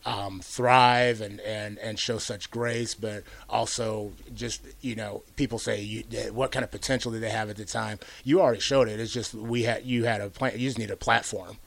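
A man's voice: lowish voice, steady tone, moderate pace, vocal fry, hardly any pauses, a bit bright. A middle-aged man hosting an interview, speaking earnestly and reflectively with many "you know"s. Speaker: host. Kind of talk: radio interview conversation.

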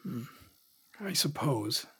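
A man's voice: mid-range voice, expressive, slow pace, a bit bright, slightly rough, exaggerated voice. A man playing a defiant, eager young nephew hungry for glory, terse and challenging in a sci-fi audio drama. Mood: defiant, curious.

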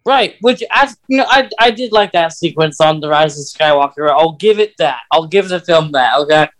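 A podcast host chatting casually, giving opinions in a hesitant, halting way. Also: medium pitch, expressive. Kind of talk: casual film-fan chat.

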